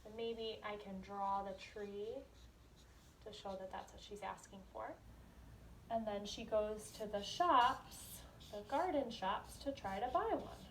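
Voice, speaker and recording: A woman's voice: medium pitch, slight background noise, low-quality recording. A woman kindergarten teacher leading a read-aloud lesson, explaining and posing questions to young children as she goes. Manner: instructional, asks questions and pauses for answers.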